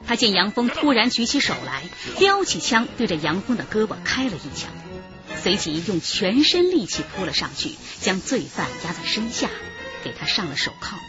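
A voice actress as the female narrator of a tense crime radio drama, telling it dramatically with lively swings in pitch. The sound is slightly dull, with clear music underneath. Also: normal speed, natural voice. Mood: tense storytelling.

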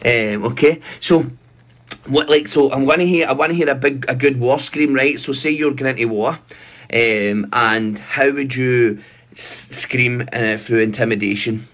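A man's voice: mid-range voice, measured pace, fairly bright tone. A man in his thirties making a prank phone call in a rambling, mock-official manner, recorded on a phone in a bedroom. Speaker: prank caller. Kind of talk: prank phone call posing as army recruiter. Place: small bright bedroom indoors.

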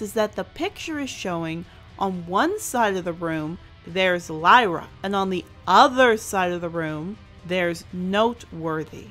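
A woman's voice: mid-range voice, a bit bright. A woman hosting a scripted review, speaking emphatically and stretching out key words. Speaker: reviewer, show host. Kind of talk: scripted review commentary over animation. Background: music.

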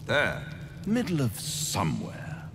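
A man playing a suave, mocking, menacing devil in a video game, with grandiloquent, drawn-out delivery. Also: medium pitch, wildly swooping pitch, background noise, heavily acted. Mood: theatrical, menacing. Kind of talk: video game dramatic acting.

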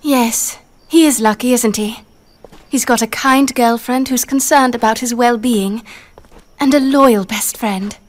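Woman as kind teenage girl, high voice, gently teasing, coaxing, cartoon game acting. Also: unhurried, natural voice. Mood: soft, wistful. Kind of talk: animated cutscene acting.

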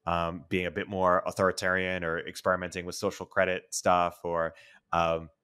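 A man's voice: low-pitched, level tone, moderate pace, sharp tone, clean tone. A man in his thirties casually putting questions to a guest as the interviewer. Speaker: interviewer, host. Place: living room, indoors. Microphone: desk microphone with headphones.

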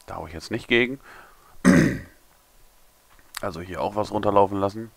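A young man, a little hungover and hoarse, mumbling and thinking aloud as he builds in a game tutorial. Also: fairly low pitch, moderate pace. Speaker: gaming tutorial creator. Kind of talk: casual commentary while building.

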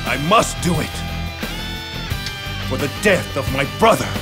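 An actor playing a grief-stricken, vengeful army lord in a video game, with a gravelly voice. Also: male voice, medium pitch, expressive, unhurried, over music, natural voice. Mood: anguished, vengeful. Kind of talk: dramatic video game cutscene acting.

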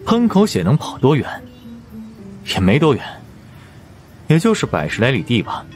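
A man voicing an aloof, powerful boss of supernatural investigators in an audiobook, condescending, unhurried and matter-of-fact. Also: mid-range voice, wildly swooping pitch, pitched above the performer's own voice. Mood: amused, smug. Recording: music under the voice.

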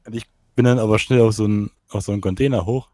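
A man's voice: lowish voice, fairly even intonation, rapid-fire, mellow, no echo. A man playing an online roleplay game, casually telling stories and bantering in character over voice chat.

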